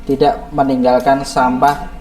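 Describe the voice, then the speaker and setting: A man teaching a class, hoarse and slightly raspy from a cold, quizzing his students in a lively way. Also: mid-range voice, measured pace. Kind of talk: classroom lesson. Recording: echoey classroom from far off, students behind.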